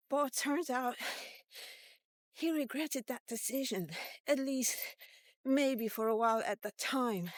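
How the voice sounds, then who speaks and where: A woman in her fifties with a fairly high voice tells a personal tale in fairy-tale form. She speaks slowly in short, halting phrases, with blunt and informal wording. The sound is very dry. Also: dry recording. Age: 50s. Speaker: vlogger. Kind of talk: personal story told as a fairy tale while walking. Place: recorded while walking.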